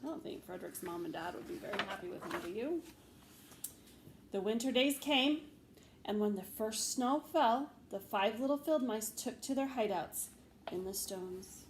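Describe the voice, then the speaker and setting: A woman reading a children's story aloud expressively, with measured phrasing and deliberate pauses. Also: medium pitch, animated, rough recording. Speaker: storybook reader, teacher. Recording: faint background noise.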